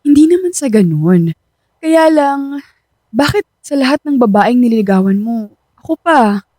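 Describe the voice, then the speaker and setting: A woman's voice: a little high, lively intonation, moderate pace, dark tone, dry recording, lightly acted. An actress playing a shy, self-doubting young woman, hesitant, in a Filipino radio drama. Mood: doubtful, worried. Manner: hesitant, insecure.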